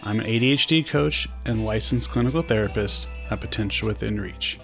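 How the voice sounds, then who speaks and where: 30s guy, bit of gravel in his voice, calm professional disclaimer, faint music behind. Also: lowish voice. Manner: measured, clear, professional. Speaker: ADHD coach and therapist. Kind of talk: formal disclaimer.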